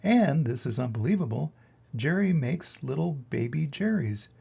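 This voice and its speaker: An older man reading a children's picture book aloud in an expressive way, with dramatic pauses and emphasis. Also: fairly high pitch, gravelly, slightly rough, lo-fi sound. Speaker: reader of children's picture books. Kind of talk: animated storybook read-aloud.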